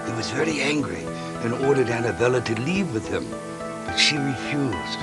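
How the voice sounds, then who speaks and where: An actor playing a worried, kindly Spanish mission padre in a video game, speaking in an earnest, solemn, theatrical way. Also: male voice, medium pitch, expressive, moderate pace, over loud music, natural voice. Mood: grave. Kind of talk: dramatic cutscene acting and storytelling.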